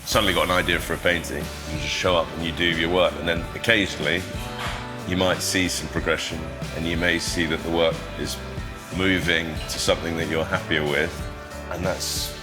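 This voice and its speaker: A middle-aged man from Sri Lanka, a guest speaking in a thoughtful, modest, low-key way during an interview. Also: little pitch movement, brisk, over music. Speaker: guest, surgeon and painter. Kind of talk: reflective interview talk.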